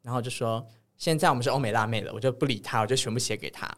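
A man's voice: medium pitch, rapid-fire. A man with a Taiwanese Mandarin accent hosting a podcast in playful, theatrical, chatty banter. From Yilan, Taiwan. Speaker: podcast host. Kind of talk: casual banter.

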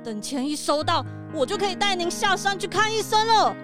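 A woman's voice: extremely high pitch, expressive, a little fast. A woman voicing a caring, fretful young dog, pleading emphatically in a children's audio drama. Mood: exasperated, pleading. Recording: music behind the voice.

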